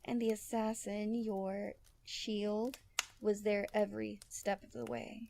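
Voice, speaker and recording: A woman's voice: medium pitch, flat delivery, slow pace, gravelly, background noise. A woman playing a proud, outspoken male general in a fantasy audio roleplay, speaking formally with indignant challenge. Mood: restrained frustration.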